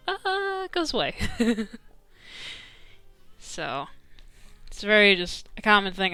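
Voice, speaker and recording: A young woman streaming live, chatting casually and keeping her voice low and easy because it is tired from teaching that day. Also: smooth, no room sound. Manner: relaxed, chatty, giggly, easing her voice to save it.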